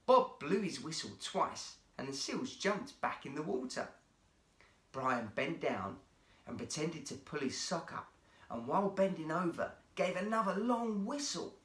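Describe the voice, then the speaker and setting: A man reading a children's story aloud as an expressive storyteller. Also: fairly high pitch, measured pace, reverberant. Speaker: guest storyteller, actor.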